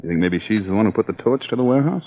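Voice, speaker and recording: A man's voice: fairly low pitch, animated, rapid-fire. An actor playing an eager, plain-spoken male junior investigator in an old-time radio crime drama, clipped and inquisitive. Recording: muffled old radio recording, faint noise.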